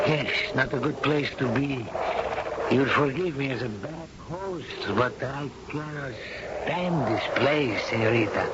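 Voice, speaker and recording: A commanding older nobleman, measured and slow, in a muffled, hissy vintage radio play. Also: medium pitch. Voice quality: formal, commanding delivery. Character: proud, unbending older Spanish nobleman. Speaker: stern aristocratic father. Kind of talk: 1970s radio drama acting. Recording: dull old broadcast with hiss.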